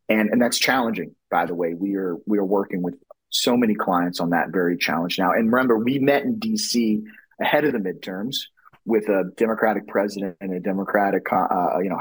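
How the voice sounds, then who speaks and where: A man's voice: low-pitched, husky, dry recording, low-quality recording. A middle-aged man from Louisiana, a brand strategist talking in a podcast interview, rambling with many restarts and fillers.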